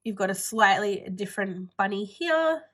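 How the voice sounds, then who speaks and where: A woman's voice: medium pitch, expressive, moderate pace, fairly bright tone. Sniffly, nasal woman chatting. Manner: chatty, rambling with "like" fillers. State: stuffy nose, sniffly. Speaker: planner vlogger. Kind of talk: casual haul video chat.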